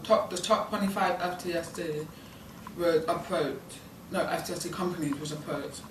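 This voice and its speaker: British female student intern, 19, BSL first language, slightly low voice, halting informal delivery; distant, noisy pickup. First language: British Sign Language. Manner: hesitant, rambling, many ums and likes. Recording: echoey room, distant mic, background noise.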